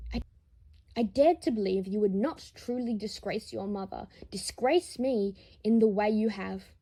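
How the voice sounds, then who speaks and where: A woman's voice: a little high, warm tone, slightly rough. A woman voicing a proud, bitter older aristocratic father in an audiobook drama, speaking in a haughty, formal, reproachful way.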